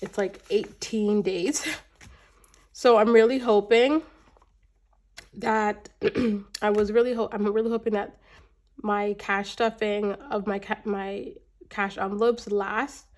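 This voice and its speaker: A woman in her thirties from Canada, chatting casually to camera about her budget, her voice a little raspy and congested from a cold, talking in a rambling way with many restarts. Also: mid-range voice, fairly even intonation, takes it slowly, pauses often. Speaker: budgeting vlogger.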